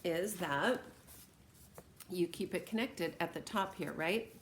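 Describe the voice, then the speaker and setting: Low-pitched American woman, noisy recording. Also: slow pace. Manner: encouraging, conversational. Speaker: teacher. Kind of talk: informal read-aloud and craft demonstration for students.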